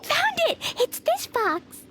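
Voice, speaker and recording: An innocent little girl for an anime game. The voice is extremely high and lively, slowly paced, childlike and earnest. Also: over music, heavily acted. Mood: excited.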